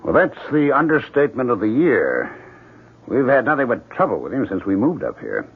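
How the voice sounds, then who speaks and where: An actor in a 1970s radio drama playing an excitable, bitter painter, recorded in muffled broadcast sound. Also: male voice, mid-range voice, moderate pace, breathy edge, full character voice. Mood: shocked, uneasy. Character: John, excitable, bitter painter living in a farmhouse.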